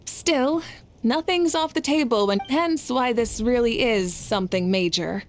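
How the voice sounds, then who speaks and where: A woman playing a confident, warm-hearted warrior leader in a video game, with an assured and reassuring manner. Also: fairly high pitch, a little slow, a little hiss or hum. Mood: calm, serious. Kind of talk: video game character acting. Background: background music.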